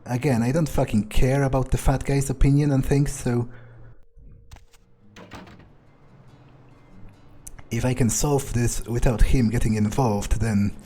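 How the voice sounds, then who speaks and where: A man improvises a cynical, brooding vampire during a video game. His voice is dark and relaxed, with halting phrases as he thinks aloud, and faint music plays behind him. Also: mid-range voice, close to an everyday voice. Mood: disdainful. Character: cynical, foul-mouthed male vampire musing on power. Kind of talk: improvised in-character game roleplay.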